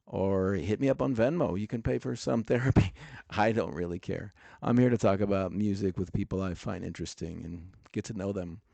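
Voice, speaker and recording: A man's voice: lowish voice, brisk, gravelly, hardly any pauses, dark tone, no room sound. A man hosting a music podcast, talking casually with a noticeable stutter. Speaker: podcast host.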